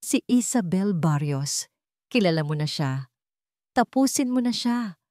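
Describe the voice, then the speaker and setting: A woman performs a vengeful older villainess from a radio drama. She sneers and taunts, savoring her words with deliberate menace. The sound is dry and close. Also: lowish voice, lively intonation, a little mellow, no room sound. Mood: cold menace. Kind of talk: Tagalog radio drama storytelling.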